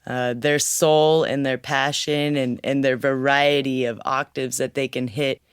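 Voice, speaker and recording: Easygoing musician with a slightly raspy voice. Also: medium pitch, little pitch movement, a little slow, no echo, clean studio sound. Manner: easygoing, laughs often, talks freely. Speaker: musician guest. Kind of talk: casual interview chat.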